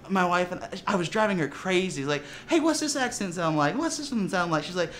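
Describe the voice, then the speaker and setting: A man talking casually in an interview as a tabletop player, telling lively stories with frequent laughs and restarts. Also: sits high, brisk, slight background noise. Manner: animated, laughing storyteller with many restarts.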